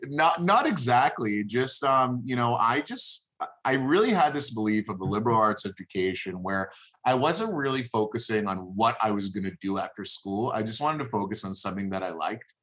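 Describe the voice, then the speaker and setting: A man in his thirties, a native Hebrew speaker from Israel, talking as an interview guest in a thoughtful, halting way with many fillers. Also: on the low side, expressive, a bit bright, low-quality recording. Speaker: guest, moving company businessman. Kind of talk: casual podcast interview.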